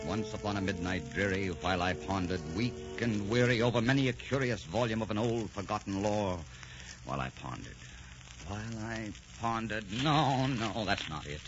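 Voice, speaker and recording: An actor playing a tormented, brooding poet in love, anguished and halting in a melodramatic 1940s radio style. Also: male voice, lowish voice, expressive, lightly acted. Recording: muffled 1940s radio recording with music.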